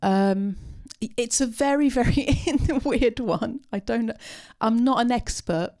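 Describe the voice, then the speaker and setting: A woman speaking unscripted at a post-film discussion, answering audience questions with many pauses, ums and restarts. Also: on the high side, a little mellow. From part Syrian.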